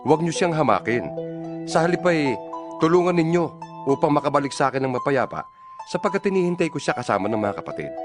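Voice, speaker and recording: A man's voice: mid-range voice, lightly acted. Man playing a male apostle, Filipino accent, earnest oratory, widely swinging intonation, audio Bible drama, music underneath. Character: male apostle exhorting fellow believers in a letter. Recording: music bed behind the voice.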